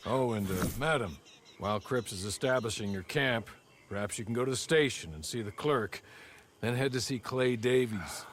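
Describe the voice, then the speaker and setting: A man's voice: mid-range voice, moderate pace, slight background noise, natural voice. An actor playing a polite male servant who speaks in a formal, deferential and wry manner. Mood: dry.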